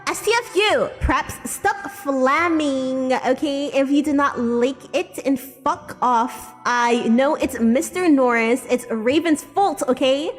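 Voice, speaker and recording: A woman's voice: high-pitched, slow, hardly any pauses, in a large hall, faint music behind. A woman voicing a melodramatic, foul-mouthed teenage goth girl in an exaggerated, indignant manner for a fan fiction reading.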